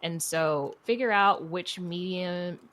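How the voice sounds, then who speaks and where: A woman's voice: fairly low pitch, slow, fairly bright tone. A woman speaking as a podcast guest in casual interview talk, candid and encouraging, with plenty of "you know". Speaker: podcast guest, writer and producer.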